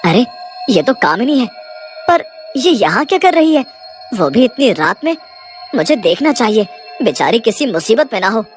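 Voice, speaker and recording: A woman's voice: a little high, sing-song, normal speed, soft background music. A woman voicing a worried, grumbling village man in a cartoon horror story, with a plaintive manner. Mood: puzzled.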